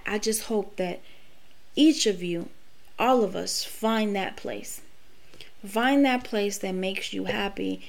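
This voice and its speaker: Podcast host, woman, late 30s, frank and emphatic, swears freely, mid-pitched voice. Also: vocal fry. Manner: candid, profane, emphatic. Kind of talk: personal monologue.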